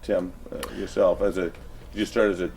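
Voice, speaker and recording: A man hosting a music show, interviewing guests in a casual, chatty way with halting, rambling questions. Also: low voice, flat delivery, darkish tone, a little hiss or hum.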